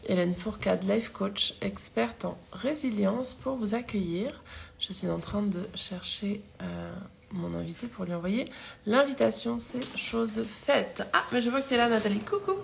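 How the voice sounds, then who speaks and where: A woman hosting a live interview, nasal and hoarse from a cold, welcoming her guest with many fillers. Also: medium pitch, a little slow, slight background noise. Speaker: host, life coach.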